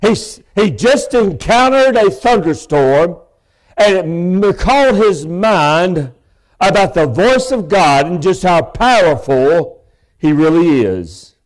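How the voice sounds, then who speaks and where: A man, preaching a sermon from a church pulpit microphone in a big, loud voice with folksy asides. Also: sits high, sing-song, slow, low-quality recording. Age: 50s. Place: church sanctuary.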